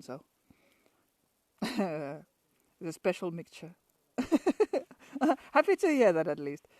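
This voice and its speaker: A woman's voice: fairly low pitch, a little mellow, dry recording. A woman from Finland with a Finnish accent, chatting casually outdoors in a pine forest for a travel vlog and laughing often between restarts. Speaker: travel vlogger.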